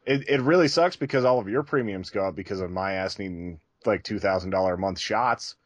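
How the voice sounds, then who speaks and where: A man's voice: low voice, brisk, dry recording. A man co-hosting a podcast, talking casually and candidly in a conversational, self-deprecating way. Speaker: podcast co-host.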